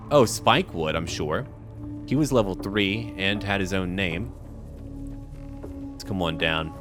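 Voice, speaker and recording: A man with a fairly low voice narrates his video game in a casual way. His pitch moves around a lot as he thinks aloud and talks to the characters, with game music clearly playing behind him. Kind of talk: casual let's-play commentary.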